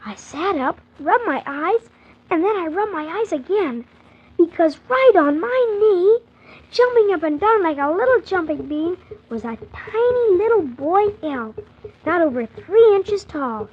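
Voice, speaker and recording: Eager, high-voiced orphan boy. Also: animated, unhurried. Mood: wonder-filled. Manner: earnest, wonder-filled, childlike storytelling with exclamations. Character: eager, wide-eyed young orphan boy telling his adventure. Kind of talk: 1940s children's radio serial storytelling. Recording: muffled 1940s radio transcription, faint hiss.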